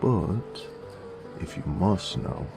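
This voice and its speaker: A man playing a gruff, teasing werewolf bounty hunter in a Western audio roleplay, with a gravelly American Western drawl and a folksy, coarse manner. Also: sits low, animated, very slow, music in the background, exaggerated voice.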